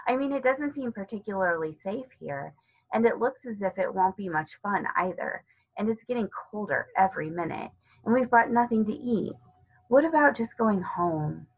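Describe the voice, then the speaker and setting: A woman's voice: mid-range voice, lively intonation, moderate pace, slightly rough, narrow, tinny audio. A woman voicing a cautious, sensible older sister in a storybook, hesitant and worried.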